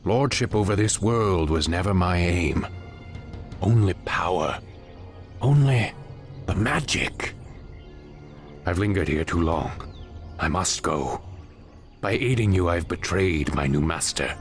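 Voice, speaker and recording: A deep, solemn fantasy outcast, proud and brooding yet earnest. He speaks with dramatic weight, in the manner of a game cutscene, over faint music. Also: expressive, takes it slowly, pauses often, full character voice. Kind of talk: video game cutscene voice acting.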